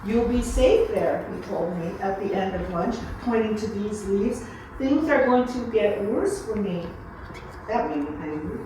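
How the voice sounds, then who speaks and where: A woman's voice: medium pitch, animated, a little slow. A woman author speaking in an earnest way to guests at a live book event. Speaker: author introducing and reading own book. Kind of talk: informal live talk, then slow literary reading. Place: room at a live book reception. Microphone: distant microphone. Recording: echoey room, far mic, faint noise.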